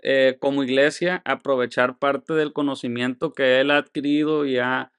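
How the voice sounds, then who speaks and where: A man's voice: mid-range voice, flat delivery, normal speed, a bit bright, clear, resonant tone, no echo, clean studio sound. A man hosting a podcast, friendly, laughing often and prompting his guest with questions. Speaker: podcast host. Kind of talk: casual interview chat.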